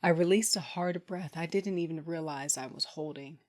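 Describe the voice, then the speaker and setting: A woman's voice: low voice, normal speed, darkish tone, natural voice. An actress playing a tough, sardonic underworld boss who narrates her own story bluntly in an audio drama. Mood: tense, focused. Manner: blunt, wry. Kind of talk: first-person dramatic narration and acting.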